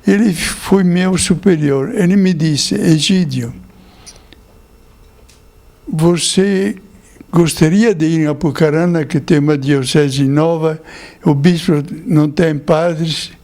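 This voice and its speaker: An older Italian-born priest, a man, telling his memories slowly in an interview, with small chuckles. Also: on the high side, darkish tone. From Italy. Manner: slow storytelling with chuckles.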